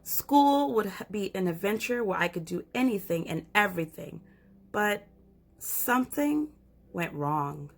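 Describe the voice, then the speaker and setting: A woman voicing a chatty little girl who has shrunk, telling her own story straight to the reader in a lively storybook way. Her voice sounds a little worn, and her pitch sits in a middle range. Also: a little slow, creaky. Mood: storytelling. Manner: lively storybook read-aloud. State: losing her voice.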